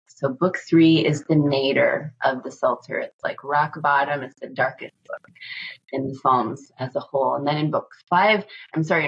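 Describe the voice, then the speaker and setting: A woman professor calmly and methodically explaining a teaching on a podcast. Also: deep voice, husky, highs cut off. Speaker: Hebrew Bible professor. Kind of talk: explanatory academic talk.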